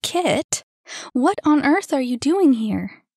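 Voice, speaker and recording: A woman voicing an anxious, nervy young woman who speaks high and urgently. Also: animated, moderate pace, a little mellow, no room sound, clean studio sound.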